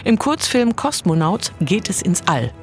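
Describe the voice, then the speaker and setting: A woman reading a scripted culture report voice-over with an expressive delivery. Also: mid-range voice, a little slow, soft background music.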